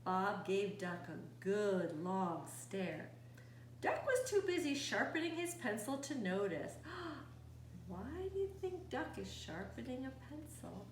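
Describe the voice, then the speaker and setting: A woman reading a children's picture book aloud expressively, pausing to ask young listeners questions. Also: medium pitch, very animated. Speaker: storytime host reading aloud. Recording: roomy echo, distant mic, faint noise.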